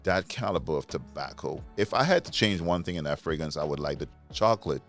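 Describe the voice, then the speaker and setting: A man from Trinidad with a very deep, low voice talks in a relaxed interview. He speaks with animated enthusiasm and sometimes searches for his words. Faint music plays under him. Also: fairly quick. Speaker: interview guest, fragrance reviewer. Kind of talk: conversational interview.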